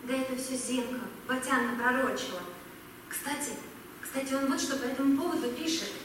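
Woman acting high-voiced girlish army signaller, echoey hall. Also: fairly quick, lightly acted. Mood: shy. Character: earnest, girlish young female army signaller. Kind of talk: amateur stage-style audio drama. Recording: noisy echoey hall, distant mic.

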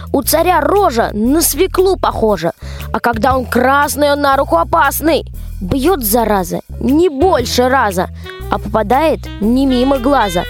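A young woman narrating a rhymed folk tale as storyteller in a radio play. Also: a little high.

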